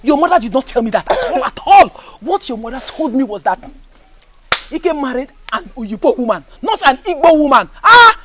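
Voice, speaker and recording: A woman's voice: high-pitched, very animated, normal speed, fairly bright tone. A woman with a Nigerian accent playing a dramatic, nosy, exuberant mother in a film drama. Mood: indignant.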